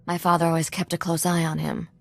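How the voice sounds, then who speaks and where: An actress playing a sharp, cautious female starship crewmate in a video game, earnest and sardonic. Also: on the low side, little pitch movement, quick pace, gravelly, no echo, faint music behind. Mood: serious warning. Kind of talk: video game cutscene acting.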